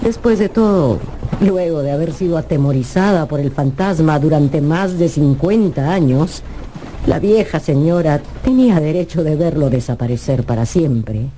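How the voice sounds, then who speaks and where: A man narrating a classic story for a radio drama in an expressive storytelling manner. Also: fairly high pitch, moderate pace, a little hiss or hum, rough recording.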